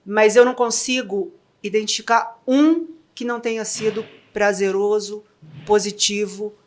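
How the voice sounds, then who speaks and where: A middle-aged woman with a São Paulo countryside accent, a guest telling her own story in a warm, candid and slightly emotional way. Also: mid-range voice, fairly even intonation, a little slow. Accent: Brazilian Portuguese, São Paulo interior. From interior of São Paulo state, Brazil. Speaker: guest, trade fair executive. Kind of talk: reflective personal storytelling.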